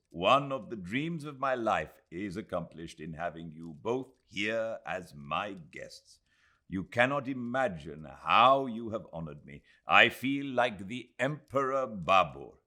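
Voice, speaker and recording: A man voicing an eager, courteous gentleman host in an audiobook, speaking earnestly and effusively. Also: fairly low pitch, heavily acted. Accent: British. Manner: earnest, ardent, emphatic. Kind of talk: audiobook character voice.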